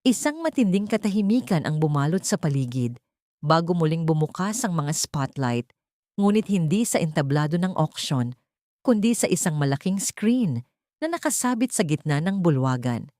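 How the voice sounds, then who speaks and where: A woman's voice: sits low, very animated, moderate pace, warm tone, no room sound, studio quality. A woman reading a scripted dramatic love story as its narrator.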